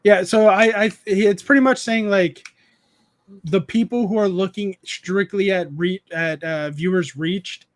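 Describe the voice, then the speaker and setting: Guy in his 30s rambling on his stream, kinda high voice, reads chat out loud. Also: steady tone, a little slow. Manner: rambling, restarts often, reads chat aloud. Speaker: streamer host. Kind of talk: casual live-stream chat.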